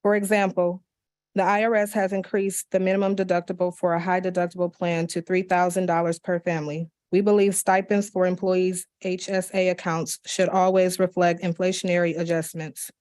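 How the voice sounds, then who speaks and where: A woman at a county commission meeting, reading public comment letters aloud in a steady, measured way with small slips and restarts. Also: fairly low pitch.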